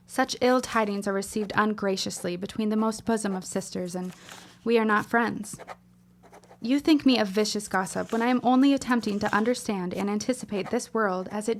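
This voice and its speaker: A woman's voice: medium pitch, natural voice. An actress playing an earnest, wounded young woman reciting a solemn letter in formal, literary style for a period audio drama. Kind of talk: scripted period drama monologue.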